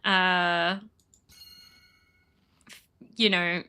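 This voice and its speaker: A woman's voice: lowish voice, level tone, extremely slow, bright, forceful tone, smooth. A woman streaming a game and chatting casually, sniffly from a cold, rambling and laughing often. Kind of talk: casual chat while playing.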